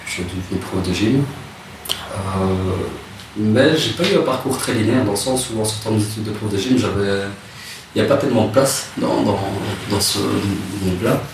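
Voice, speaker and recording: A man, telling his life story in a relaxed interview, hesitant and soft-spoken with many restarts. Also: fairly low pitch, moderate pace, creaky. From Rwanda. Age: 30s. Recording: echoey room, distant mic, noisy.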